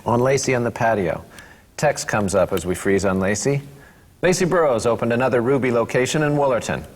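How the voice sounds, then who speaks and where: A man's voice: medium pitch, lively intonation, fairly quick. Man narrating in a reverberant hall. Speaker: script narrator. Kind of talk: reading stage directions at table read. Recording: long hall reverb, faint room noise.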